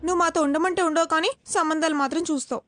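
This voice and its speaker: A woman's voice: extremely high pitch, steady tone, very fast, a bit bright. A young woman playing a fearless, cheeky sister who is proud of her brother, in a film. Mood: teasing. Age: young adult. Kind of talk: Telugu film acting. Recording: film dialogue with music behind.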